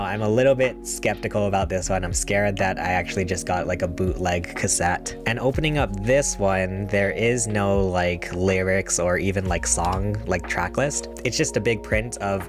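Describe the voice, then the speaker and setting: A young man chatting casually and upbeat to his viewers as a vlogger, informal and slangy, close on a desk microphone in a small bedroom. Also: lowish voice. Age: young adult. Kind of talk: casual chat to viewers about a collection. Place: small bedroom studio, indoors. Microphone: desk microphone on boom arm, close.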